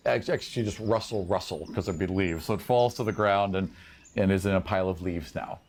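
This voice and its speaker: A man running a tabletop game as game master, improvising casual, animated narration with spoken sound effects. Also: low voice, a little fast, slight background noise.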